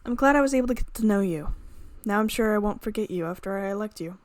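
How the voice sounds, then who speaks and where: A woman reading the part of an earnest, soft-hearted yet resolute young heroine in a visual novel, in a measured, dramatic way. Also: medium pitch, lively intonation, no room sound, natural voice. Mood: cold resolve.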